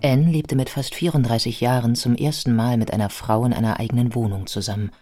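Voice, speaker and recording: A man narrating a scripted biographical radio play in a measured, scholarly manner. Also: medium pitch, steady tone, mellow, faint music behind, close to an everyday voice.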